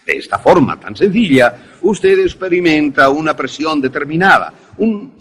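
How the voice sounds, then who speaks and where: A man acting an enthusiastic professor in a radio drama, lecturing in a deliberate, didactic, theatrical way. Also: on the high side, sing-song, fairly bright tone, breathy edge, heavily acted. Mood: pleased, explanatory. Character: enthusiastic male professor demonstrating an invention to students.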